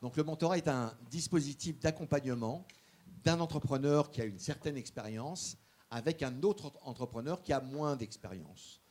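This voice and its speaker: A man giving an earnest public speech as guest speaker, halting often and repeating small words as he goes. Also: mid-range voice, a little mellow. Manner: earnest, stresses key points. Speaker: guest speaker promoting a mentoring program. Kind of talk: public speech at a formal session.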